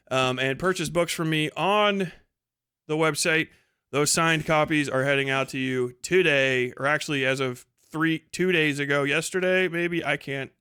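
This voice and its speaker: Bright, crisp-voiced American man hosting a livestream. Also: a little high, no room sound, studio quality. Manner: irreverent, rambling, swearing freely. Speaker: podcast and livestream host. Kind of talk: casual profane livestream monologue.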